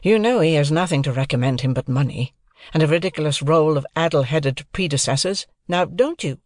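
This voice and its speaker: A woman voicing an earnest, wretched young gentleman in love in an audiobook, pleading and insistent. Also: sits low, fairly quick, no echo, studio quality, lightly acted.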